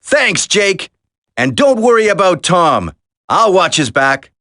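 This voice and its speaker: A man playing an earnest, steady special forces operative in a dramatic video game. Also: high-pitched, takes it slowly, no echo, studio quality, heavily acted. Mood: resolute.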